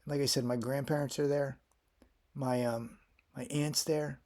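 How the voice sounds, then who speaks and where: A man's voice: medium pitch, steady tone, measured pace, dark tone. A man in his fifties from Florida, talking through his health and family history in a casual vlog, rambling and often restarting his sentences. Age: 50s. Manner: rambling, with frequent restarts and fillers. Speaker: vlogger.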